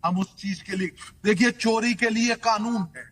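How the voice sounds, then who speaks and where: High-voiced man explaining law emphatically. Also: moderate pace. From Delhi, India. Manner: emphatic, point-by-point, code-switching into English legal terms. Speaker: legal expert guest. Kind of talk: explanatory legal commentary in an interview.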